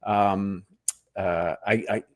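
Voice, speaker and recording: A middle-aged man from New Jersey, a guest in a relaxed interview, telling stories in a self-deprecating way that often trails off into low asides. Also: very slow. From New Jersey, USA. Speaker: guest, actor and Marine veteran. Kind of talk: casual interview chat.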